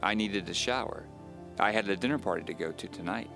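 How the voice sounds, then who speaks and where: A man playing an uneasy man recounting his own mystery in measured, confiding, scripted audio drama narration. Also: low voice, creaky, over music. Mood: weary, confiding.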